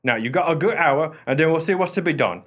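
A man's voice: fairly high pitch, animated, fast, fairly bright tone. An actor playing an irreverent working-class married man in a radio play, folksy and cheeky in his speech. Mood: jaunty. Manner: cheeky, familiar, unflappable.

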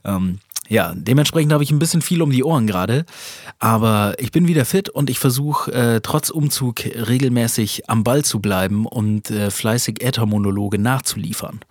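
Chatty podcast host, stuffy from a cold, husky and dry, laughs easily. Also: male voice, mid-range voice, normal speed, dry recording. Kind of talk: casual solo podcast talk. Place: small home studio.

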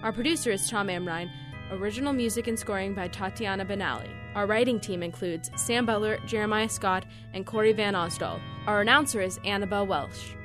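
A woman's voice: medium pitch, brisk. A woman announcer reading scripted show credits. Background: music.

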